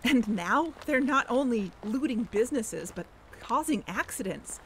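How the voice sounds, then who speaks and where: A woman's voice: a little high, animated, unhurried, slight background noise. A woman playing an earnest, suspicious amateur investigator hosting a podcast in a scripted audio drama. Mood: grave, suspicious. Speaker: podcast host.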